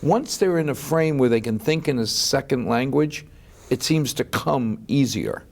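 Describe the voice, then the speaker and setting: A man's voice: medium pitch, lively intonation, unhurried, gravelly, darkish tone. A man whose first language is Portuguese, hosting a casual local talk show and telling stories with quoted asides. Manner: chatty, storytelling with quoted asides. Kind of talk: casual chat.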